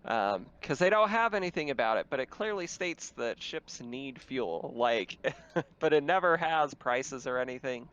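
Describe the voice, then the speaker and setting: A man talking casually as game master, explaining things in a halting way over dull, noisy voice-chat audio. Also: mid-range voice, lively intonation, fairly bright tone, clean tone. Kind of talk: casual tabletop game talk.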